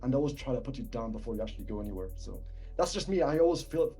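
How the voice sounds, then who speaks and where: A man's voice: mid-range voice, animated, rapid-fire. A young man from Palestine with a British accent, raised speaking Arabic too, talking casually as a rapper guest, a little mumbly and swallowing words. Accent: British English. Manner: soft-spoken, slightly swallowed words. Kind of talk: casual podcast interview. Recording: faint background music.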